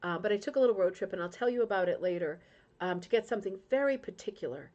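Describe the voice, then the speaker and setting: A woman's voice: mid-range voice, lively intonation, fast, darkish tone, lo-fi sound. A middle-aged woman from Rhode Island, hosting a crafting club livestream in casual, emotional chat and reading out viewer comments, with frequent tag questions. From Rhode Island, United States.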